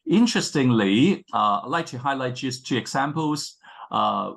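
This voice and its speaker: A man's voice: mid-range voice, expressive, creaky, fairly bright tone. A man with a Cantonese accent, a native Cantonese speaker, gives an academic presentation as a panel scholar, often hesitating and rephrasing as he goes. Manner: halting, with frequent hesitations and self-rephrasing.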